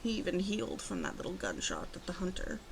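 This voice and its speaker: A woman playing a protective, commanding female werewolf in an ASMR roleplay, talking slowly and deliberately to the listener in a soft, guarded way. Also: on the low side, wildly swooping pitch, vocal fry, noisy background, full character voice. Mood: matter-of-fact, explaining.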